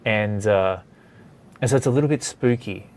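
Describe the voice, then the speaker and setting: A man's voice: fairly low pitch, normal speed. A man hosting a studio session in casual chat, talking in a hesitant, rambling way. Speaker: host of a comics drawing studio session.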